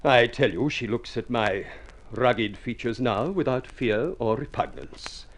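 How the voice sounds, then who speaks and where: An actor playing a homely but smooth-talking suitor in an old radio drama, telling his tale in a flowery, self-satisfied way. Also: medium pitch, measured pace, natural voice. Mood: boastful, lyrical. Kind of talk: old-time radio drama acting and narration.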